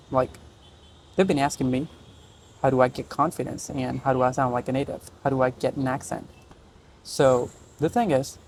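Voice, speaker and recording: A man's voice: mid-range voice, slight background noise. Young man with an Indian accent. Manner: conversational, frequent "right?" and "you know" fillers. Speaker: channel host. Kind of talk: casual talk to camera.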